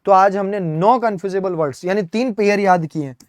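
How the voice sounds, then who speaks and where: A man's voice: high voice, brisk, dry recording. A man with an Indian accent teaching English vocabulary for an exam, spelling words out letter by letter and often checking in with "है ना?". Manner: explains step by step, spells words letter by letter, keeps asking "है ना?". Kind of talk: exam-prep vocabulary lesson.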